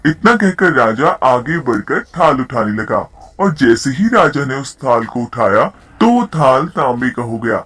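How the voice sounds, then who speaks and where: A man's voice: mid-range voice, big pitch swings, a little slow, hardly any pauses, fairly bright tone, husky. Man narrating a scripted folk tale.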